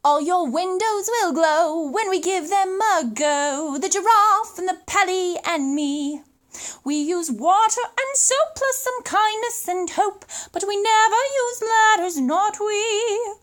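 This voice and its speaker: Woman as a jaunty storybook monkey, high and bouncy. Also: slow pace. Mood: proud, jolly. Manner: chanting bouncy rhymes, exclamatory. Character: skinny, jaunty, eager dancing monkey window cleaner.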